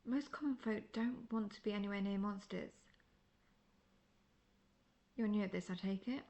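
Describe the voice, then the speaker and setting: A woman playing a seasoned, world-weary female monster hunter in a fantasy audio roleplay, speaking in a measured, formal, dryly condescending way. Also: medium pitch, darkish tone, lightly acted.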